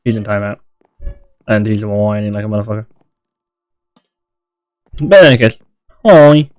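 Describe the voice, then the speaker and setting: A man's voice: fairly low pitch, normal speed, darkish tone, clean tone, no echo. A young British man with a British accent, chatting and teasing friends while streaming a game, laughing often. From Britain. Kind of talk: casual gaming chat.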